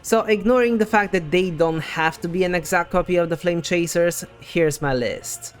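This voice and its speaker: A man with a somewhat high-pitched voice delivers scripted game theory commentary. He explains things in a conversational way, like a video essay host. Faint music plays behind his voice. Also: moderate pace. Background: background music.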